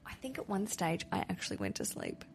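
A woman's voice: on the low side, a little fast, vocal fry. A woman with an Australian accent hosting a podcast, chatting casually and joking with a friend. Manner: chatty, jokey and lively. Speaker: podcast host. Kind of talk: casual chat.

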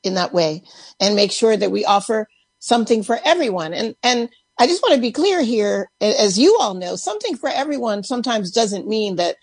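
Lady radio journalist chatting, mid pitch, very dry studio sound. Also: animated, moderate pace, husky, no room sound. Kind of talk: casual live radio discussion.

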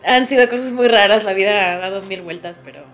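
A woman's voice: mid-range voice, fast talker, faint music behind. A woman co-hosting a podcast in casual, conversational chat. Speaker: podcast co-host.

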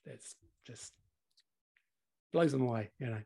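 A man in his forties with a slightly croaky voice, co-hosting a casual podcast chat, laughing often and swearing freely. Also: medium pitch, measured pace, mellow. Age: 40s. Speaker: podcast co-host.

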